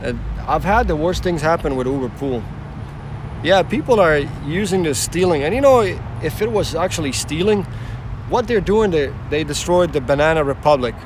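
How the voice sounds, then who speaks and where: A man's voice: on the high side, lively intonation, moderate pace, noisy background. Rambling man livestreaming from a car. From former Yugoslavia. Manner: rambling, repeats himself, talks back to chat. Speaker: rideshare driver livestreaming. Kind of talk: casual livestream chat with viewers. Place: inside a car on city streets.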